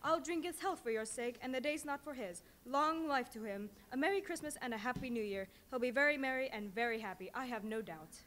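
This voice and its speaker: An actress playing a bustling mother of a poor family in a live stage reading, brisk and indignant. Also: high-pitched, fairly bright tone, slight background noise.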